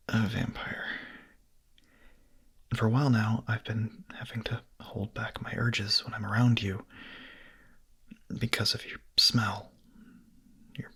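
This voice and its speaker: A man playing a hungry, nervous, lovestruck young vampire in an ASMR roleplay, speaking in a breathy, husky, hesitant whisper. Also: lowish voice, bright, forceful tone, heavily acted. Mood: nervous, pleading. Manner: hesitant, halting, confessional.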